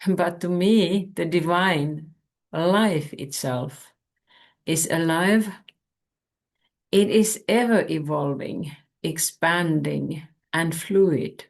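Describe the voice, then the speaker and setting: Woman, Finnish native speaker, spiritual channel host; low, unhurried, contemplative delivery with gravelly texture. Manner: calm, measured, contemplative. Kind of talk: reflective spiritual talk.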